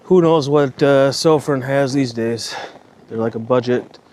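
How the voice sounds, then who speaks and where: A man's voice: medium pitch, unhurried, a little mellow, slight background noise. A man vlogging casually on a night walk outdoors, rambling and swearing now and then, with a slight Minnesotan accent. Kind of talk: casual walking vlog.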